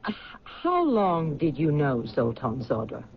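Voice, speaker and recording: An actor playing a questioning police lieutenant in a 1970s radio drama. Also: male voice, fairly high pitch, huge pitch swings, measured pace, a little hiss or hum, full character voice. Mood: probing. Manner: probing, questioning. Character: probing male police lieutenant. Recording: dull lo-fi 1970s radio recording.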